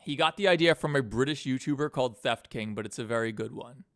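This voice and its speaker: A man with a New York accent chatting casually as he works on animation, animated, joking and prone to swearing. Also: medium pitch, fast, no room sound, clean studio sound. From Queens, New York. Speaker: streamer and animator. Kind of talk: casual reacting and chat while working.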